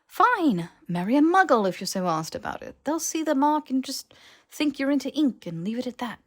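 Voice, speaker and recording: A woman's voice: high voice, big pitch swings, normal speed, voice pushed up. A woman voicing an earnest, stubborn young wizard man who questions things in blurted disbelief, in a fantasy audiobook. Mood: fed up.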